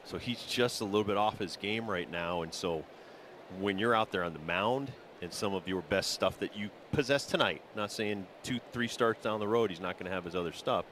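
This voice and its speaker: A man with a fairly low voice gives color commentary on a live baseball game. He explains things conversationally, like talk between colleagues, over some outdoor background noise. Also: fairly bright tone. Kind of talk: live analytical sports commentary.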